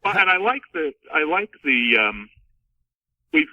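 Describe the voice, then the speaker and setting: A man speaking as an author in a casual panel discussion, in a halting way that circles back over his words. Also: fairly high pitch, big pitch swings, no echo, far from the mic. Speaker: author being interviewed. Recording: thin phone-like band, dry.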